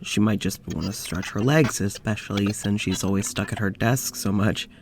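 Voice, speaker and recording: A man playing an uneasy, weary scientist in an audio drama, confiding to a recorder in a halting way. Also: fairly low pitch, close to an everyday voice. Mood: guarded, uneasy. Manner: hesitant, halting, sighing. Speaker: fiction podcast lead actor. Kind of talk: audio drama, confessional monologue.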